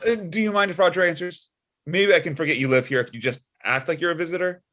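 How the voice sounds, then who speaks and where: A man playing a prim, pious older dad, high-pitched. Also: quick pace, pushed and bright. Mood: wounded, prim. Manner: sanctimonious, sugary jabs. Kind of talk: comedic script table read.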